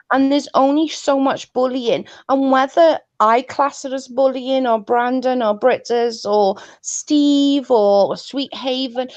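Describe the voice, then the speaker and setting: Earnest woman guest with a stutter, slightly high, dry video-call audio. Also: darkish tone, no echo. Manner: earnest, pleading, says she stutters. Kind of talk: heated casual chat.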